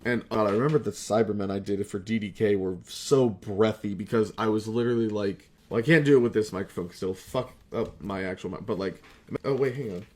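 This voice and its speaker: Low-pitched young man, rambling and sweary. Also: brisk, darkish tone. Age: young adult. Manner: self-deprecating, sweary, tangential. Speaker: amateur voice actor and video creator. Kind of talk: casual rambling retrospective chat.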